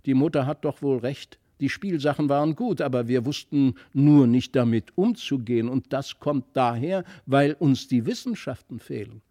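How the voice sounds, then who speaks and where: A man's voice: mid-range voice, moderate pace, hardly any pauses, dark tone, close to an everyday voice. Man playing an earnest, eager young boy. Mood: grumbling, regretful.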